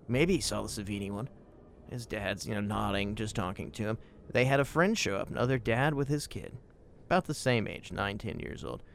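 Emphatic male podcast host over background noise. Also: on the low side. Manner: profane, opinionated, emphatic storytelling. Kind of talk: spoken podcast commentary and personal anecdote.

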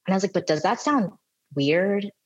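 A woman's voice: medium pitch, animated, normal speed, breathy edge, highs cut off. A woman in her thirties, a podcast guest, telling stories in a chatty, self-deprecating way, full of "like" and "you know". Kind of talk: casual conversational storytelling.

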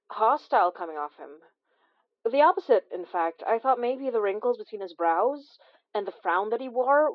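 A woman playing a wry, observant immigrant healer who narrates a horror audio drama in a confiding, measured way with dramatic pauses. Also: mid-range voice, dry recording, highs cut off, natural voice.

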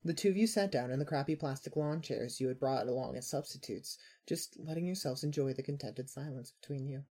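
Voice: medium pitch, a little fast, few pauses, darkish tone. A podcast narrator reading a scripted second-person story aloud. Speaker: narrator of a fan fiction podcast.

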